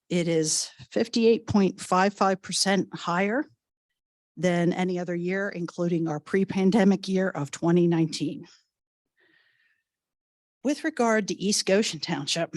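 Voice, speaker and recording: A woman police chief, coming down with a cold, giving a measured, explanatory report at a township meeting. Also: on the low side, a little mellow, no room sound, studio quality.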